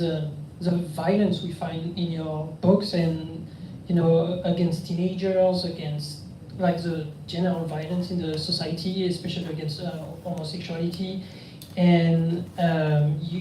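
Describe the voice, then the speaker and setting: A man's voice: on the high side, level tone, normal speed, mellow, a little hiss or hum. A man interviewing an author at a live book talk, asking questions hesitantly with many fillers. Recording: roomy room audio from a distant mic.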